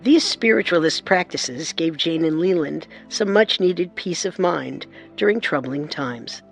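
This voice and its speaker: A narrator delivering measured, deliberate scripted documentary narration. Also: medium pitch, lively intonation, vocal fry, a bit bright, faint music behind.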